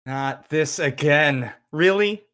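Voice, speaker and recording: A man's voice: a little high, takes it slowly, a bit bright. A man playing a brash, blunt college friend with a casual, pushy delivery in a table read. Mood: puzzled, exasperated. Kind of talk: table read of a pilot script.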